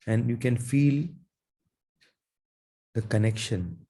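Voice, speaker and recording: A man leading a guided meditation in a soothing, gentle manner, leaving long pauses between his phrases. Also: mid-range voice, husky. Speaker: meditation guide, Reiki teacher.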